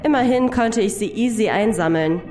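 A woman's voice: medium pitch, normal speed, dark tone, faint music behind, natural voice. A woman playing a fierce, hot-tempered undercover agent in a German audio drama. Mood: tense, reporting.

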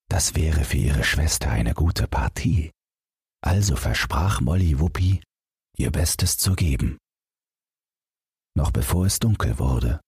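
Very deep, husky male storyteller. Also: a little mellow, dry recording, clean studio sound. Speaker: audiobook narrator. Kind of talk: scripted bedtime fairytale narration.

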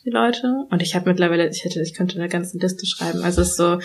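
A young woman talking reflectively in a casual conversation, pausing often with fillers and restarting her sentences. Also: on the low side, creaky, a little hiss or hum. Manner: thoughtful, many fillers and restarts, laughs when quoting others.

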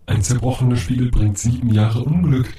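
An actor playing a pedantic, matter-of-fact talking magic mirror in an audio fairy tale. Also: male voice, medium pitch, normal speed, warm tone, lightly acted. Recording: distant, echoey, clearly noisy sound.

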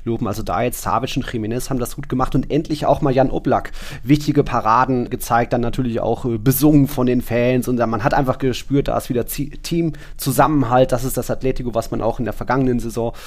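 A man speaks as a podcast co-host in an informal, conversational style. His voice sits at a middle pitch, and his phrasing is colloquial and loose, with one thought running into the next. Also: fairly even intonation, fast, few pauses. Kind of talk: casual football podcast chat.

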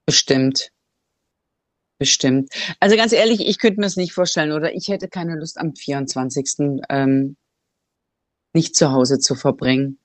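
Low-voiced German woman, chatty host. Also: unhurried, dry recording. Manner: chatty, thinks aloud with many fillers. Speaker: podcast host. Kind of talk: casual conversation. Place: Cambodia, living abroad.

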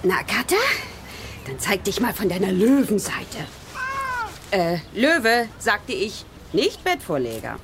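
A spirited, playful woman in a children's audio drama. Her intonation swoops widely, over clear outdoor background noise. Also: medium pitch, sing-song, slow pace. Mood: playful, surprised.

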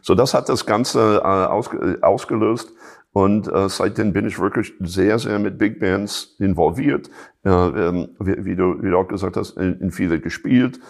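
A middle-aged man from Chicago with an American accent, speaking German in an interview with many pauses and restarts. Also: deep voice. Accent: American English. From Chicago, USA. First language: English. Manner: hesitant, many fillers and restarts. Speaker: jazz musician and teacher, guest. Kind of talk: casual interview talk.

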